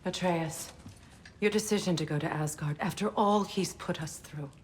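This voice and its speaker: An actress playing a wary, guarded goddess. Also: female voice, lowish voice, moderate pace, a little hiss or hum, natural voice. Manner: cool, guarded.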